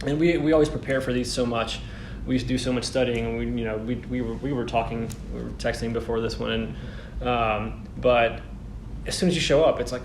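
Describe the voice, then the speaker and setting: A man originally from Poland, a conducting student, talking in a chatty, animated way with fillers. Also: mid-range voice, fairly quick. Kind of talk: casual interview answers. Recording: roomy with background noise.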